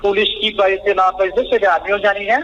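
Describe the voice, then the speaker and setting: Man, Bangladeshi accent, dull phone audio, forceful tone, rapid, defensive, repetitive, unscripted answers. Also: high voice, little pitch movement, distant mic. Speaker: head teacher, interviewee by phone. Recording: muffled phone call, faint noise.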